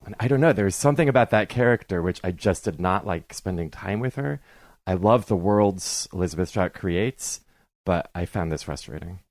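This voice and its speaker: Deep-voiced, opinionated man discussing books. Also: lively intonation, moderate pace, no echo. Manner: emphatic, opinionated. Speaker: podcast guest, journalist. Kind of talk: conversational book criticism.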